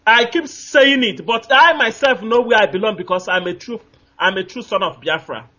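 Nigerian-accented male caller, bright tense tone, insistent and repetitive, muffled phone-line sound. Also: high-pitched, normal speed. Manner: emphatic, repetitive, gropes for names with fillers. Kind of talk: call-in radio talk.

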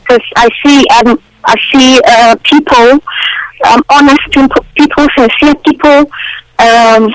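A woman from Nigeria calling into a radio show, talking in halting bursts with many fillers over a phone line. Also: high-pitched, fairly even intonation, no echo. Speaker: radio call-in caller. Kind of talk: phone-in chat.

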